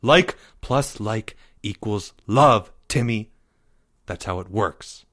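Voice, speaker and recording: A man's voice: on the low side, expressive, slow, a bit bright, heavily acted. A man voicing a lovestruck young boy in a storybook, theatrical and pompous. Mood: dreamy, grandiose.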